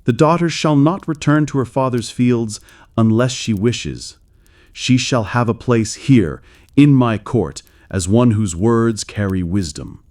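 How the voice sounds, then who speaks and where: A stately fairy-tale king, voiced by a male storyteller makes a royal proclamation. The delivery is formal and measured, in a mellow tone with broad, expressive swings of pitch. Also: lightly acted. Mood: gracious, regal.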